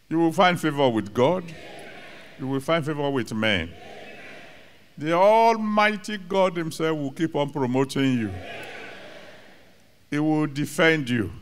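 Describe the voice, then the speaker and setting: A man's voice: fairly high pitch, fairly bright tone, a little hiss or hum. An older man with a Nigerian accent, a pastor pronouncing blessings in rhythmic, repeated declarations into a handheld mic on a large studio stage. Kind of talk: sermon blessing.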